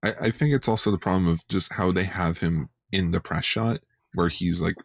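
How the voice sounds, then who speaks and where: Man, podcast co-host, creaky lowish voice, dry close sound, casual chat. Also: normal speed, dry recording. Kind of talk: casual chat, fan discussion.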